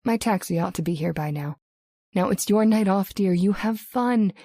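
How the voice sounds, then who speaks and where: An actress as a young fiancée in an old-time radio play. She speaks at a medium pitch, affectionate and lightly playful, in a very dry sound. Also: normal speed, dry recording, close to an everyday voice. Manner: gentle, playful.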